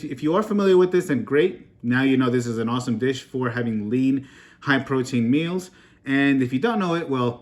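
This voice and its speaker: A man's voice: mid-range voice, a little mellow. Upbeat man from Peru, casual cooking vlog. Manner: upbeat, chatty, self-joking. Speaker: cooking vlogger.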